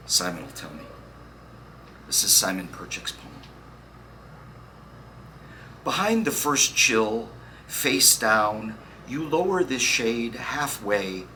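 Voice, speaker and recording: Slow, bright-voiced man reading poetry. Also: lowish voice, low-quality recording. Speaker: poetry reader. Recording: faint background noise.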